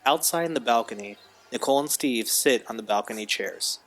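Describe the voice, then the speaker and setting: A man's voice: medium pitch, fairly quick, a bit bright, soft background music. A man narrating scripted stage directions for an audio drama.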